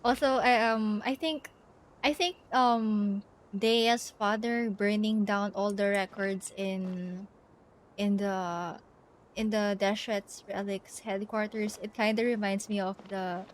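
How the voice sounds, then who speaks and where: A woman streaming a game live, chatting casually and giggly as she thinks out loud and reads bits of text. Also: mid-range voice, fairly even intonation, takes it slowly.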